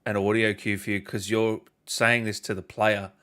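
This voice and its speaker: A man's voice: fairly low pitch, level tone, normal speed, pushed and bright, clean tone. A man hosting a gaming interview in an easygoing way, saying "I reckon" and asking follow-ups. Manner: easygoing, says "I reckon", asks follow-up questions. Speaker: gaming channel host. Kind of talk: casual interview chat.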